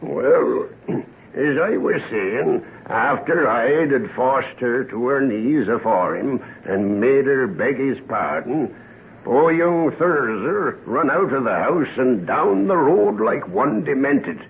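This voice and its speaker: An actor playing a gossipy West Country villager telling dark tales in an old radio drama. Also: male voice, medium pitch, lively intonation, slow. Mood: grave. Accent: English West Country. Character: gossipy rustic English villager, a man, full of dark tales. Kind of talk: old-time radio drama acting, storytelling. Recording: tinny, hissy old radio drama recording.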